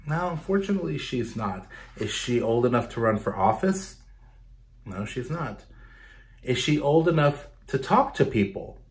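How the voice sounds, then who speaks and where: A man in his thirties reading a picture book aloud for children, expressively, pausing to explain and ask questions. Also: mid-range voice, big pitch swings. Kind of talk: children's storytime read-aloud with asides and questions to young listeners. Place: small bedroom indoors.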